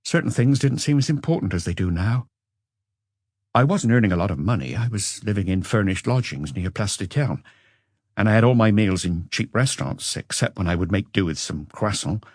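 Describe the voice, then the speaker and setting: A man performs an educated Frenchman in an audiobook, confessing his past in a measured, reflective way. The voice is fairly low and husky, recorded close and dry, and tinged with regret. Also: animated, darkish tone, dry recording. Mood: reflective, candid. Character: educated Frenchman looking back with regret on a passionate marriage. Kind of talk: audiobook character dialogue.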